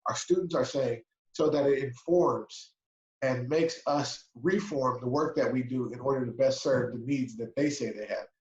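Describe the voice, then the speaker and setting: A man's voice: medium pitch, moderate pace, darkish tone. A man giving earnest, emphatic closing remarks as a webinar panelist over a video call, often checking in with "right?". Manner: earnest, emphatic, asks for agreement with repeated "right?". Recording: dull, roomy video call audio.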